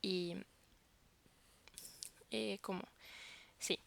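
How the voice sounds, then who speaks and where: A woman's voice: medium pitch, fairly even intonation, extremely slow, gravelly, fairly bright tone, slight background noise. A woman whose first language is French, vlogging casually to camera and searching for words as she talks.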